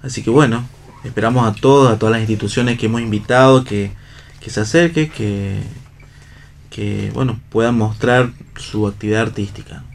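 A man with an Argentine accent talking casually as an interview guest, halting as he searches for words. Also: lowish voice, gravelly. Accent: Argentine Spanish. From La Rioja, Argentina. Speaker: theatre actor and director, interview guest.

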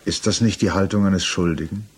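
An actor in a 1960s radio crime drama playing a grave legal official who accuses formally and deliberately, with weighty pauses. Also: male voice, low voice, steady tone, background noise, lightly acted. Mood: grave, accusing.

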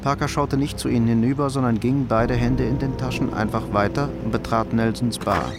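A man narrating a radio crime drama in a measured, factual storytelling manner. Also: mid-range voice, steady tone, music in the background, natural voice.

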